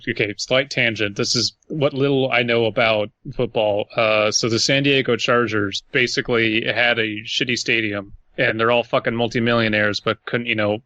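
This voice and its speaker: Bright-voiced man, dry slightly dull recording. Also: mid-range voice, no echo. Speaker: podcast co-host. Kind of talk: casual comic chat and story reading.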